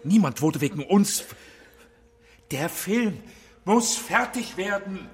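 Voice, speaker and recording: Casting: insistent film director for radio drama, high-pitched, slow but swooping delivery. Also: male voice, animated, breathy edge, heavily acted. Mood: urgent, insistent. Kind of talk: German radio drama acting. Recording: long reverberant hall, music behind.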